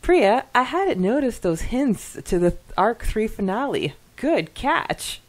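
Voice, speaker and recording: A woman's voice: on the high side, sing-song, slow pace. A woman co-hosting a podcast, chatting casually and reading listener comments in a giggly, effusive manner. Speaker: podcast co-host.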